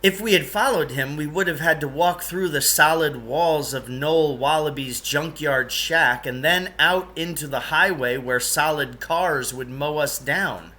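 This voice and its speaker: A man's voice: fairly high pitch, unhurried, hardly any pauses, bright, forceful tone. A man reading a children's novel aloud in a storytelling style. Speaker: reader, teacher reading aloud.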